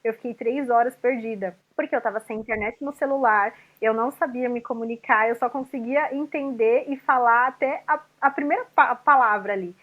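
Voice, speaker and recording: A woman speaks as a psychologist guest in a relaxed interview. Her voice is high. She recounts her experiences in an easy, filler-laden way. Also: fairly quick, hardly any pauses, narrow, tinny audio. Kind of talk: casual conversational interview.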